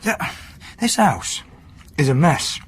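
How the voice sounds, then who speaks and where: A man's voice: on the high side, big pitch swings, slow, slightly rough, exaggerated voice. A man acting in a radio drama as a bullying, contemptuous partner, sarcastic and belittling. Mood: scornful, angry. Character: Colin, bullying, contemptuous, nitpicking male partner.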